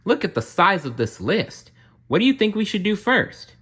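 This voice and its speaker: A man voicing a sweet, modest young princess-to-be from a storybook, gentle and earnest. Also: sits high, sing-song, normal speed, husky, pitched above the performer's own voice, full character voice.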